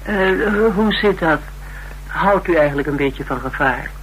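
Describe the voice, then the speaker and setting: An actor playing a polite, inquisitive interviewer in a radio drama, probing with courteous, lightly amused questions. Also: male voice, a little high, animated, a little slow, a bit bright, low-quality recording. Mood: curious, lightly amused. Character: polite, inquisitive male interviewer sizing up a recruit. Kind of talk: naturalistic Dutch radio drama acting. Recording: radio recording with background hiss and hum.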